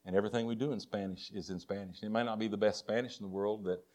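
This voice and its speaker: A man's voice: lowish voice, steady tone, quick pace, a little mellow. Older texan guy preaching, folksy stories, keeps repeating himself, earnest. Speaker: missionary preacher. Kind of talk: sermon with personal stories.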